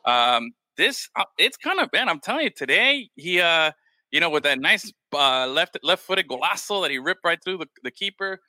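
Man, podcast host, slightly high voice, dry close mic, loose rambling chat. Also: sing-song, normal speed, sharp tone, dry recording. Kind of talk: casual chat.

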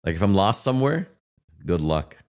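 A raspy-voiced man, a guest telling his story in a loose, reflective way with many fillers. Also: low voice, big pitch swings, moderate pace. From Palestine. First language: Arabic. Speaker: guest, real estate agent. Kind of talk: casual interview chat.

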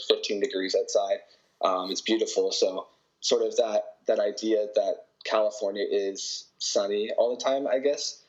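Brief: young Canadian male athlete, easygoing, filler-heavy answers, slightly low voice, muffled lo-fi recording. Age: young adult. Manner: relaxed, many fillers and hedges. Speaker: college volleyball player. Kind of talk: interview answers.

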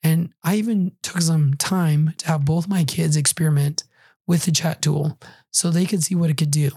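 Middle-aged male podcast host, hoarse from a lingering cold; husky, dark tone; casual wry delivery. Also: fairly high pitch, fairly even intonation, normal speed, no echo, studio quality. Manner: conversational with wry asides. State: hoarse, recovering from a cold and flu. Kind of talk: solo podcast monologue.

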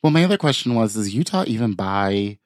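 Low-voiced man joking on a podcast. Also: very animated, brisk, dry recording, clean studio sound. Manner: jokey, riffs on absurd scenarios, swears freely. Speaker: podcast co-host. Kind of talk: casual comedic chat.

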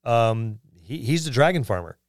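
A man's voice: medium pitch, animated, normal speed, no echo. Man chatting casually on a dry recording. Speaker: podcast co-host. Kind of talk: casual chat about tabletop gaming.

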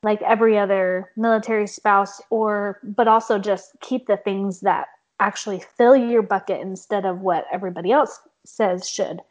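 Young woman host, bit hoarse, says like a lot, muffled lo-fi audio. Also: medium pitch, fairly even intonation, moderate pace, hardly any pauses. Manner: casual, many likes, uneven pace. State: slightly hoarse. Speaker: podcast host. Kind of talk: casual podcast conversation. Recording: dull lo-fi sound.

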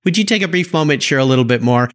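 A man's voice: fairly high pitch, lively intonation, rapid-fire, fairly bright tone. A man from San Diego hosting a car podcast, eagerly praising and questioning his guest. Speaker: podcast host. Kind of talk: interview podcast.

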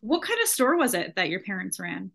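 A woman's voice: mid-range voice, very animated, fairly quick, gravelly, fairly bright tone, husky. A woman interviewing a guest, asking questions with some restarts and giving short friendly replies. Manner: friendly, brief backchannels, hesitant questions.

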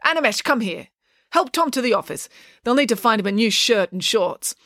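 A woman's voice: a little high, expressive, a little fast, a bit bright, no echo, clean studio sound, voice pushed up. A woman voicing a stern, indignant older male school counsellor. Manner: blustering, indignant.

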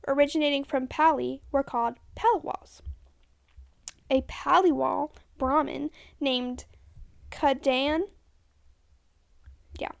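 High, gravelly woman reading notes. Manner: reads from notes, stumbles over names and self-corrects. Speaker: podcast co-host. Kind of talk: casual chat reading history notes.

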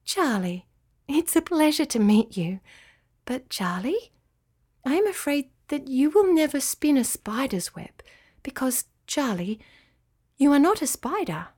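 A woman voices a proud, kindly lady spider from a storybook. Her voice is silky and whispery, lilting upward as she patiently explains, with gentle care and an unhurried pace. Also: high voice, sing-song, pitched above the performer's own voice. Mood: gentle, curious. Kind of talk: children's storybook character voice.

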